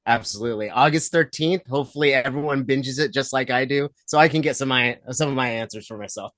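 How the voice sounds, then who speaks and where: A man's voice: medium pitch, fast, fairly bright tone, no echo. Young male junket interviewer, chatty and upbeat, says "like" a lot. Dry close sound. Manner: chatty, enthusiastic, heavy with fillers like "like". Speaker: entertainment interviewer. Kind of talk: casual press junket interview.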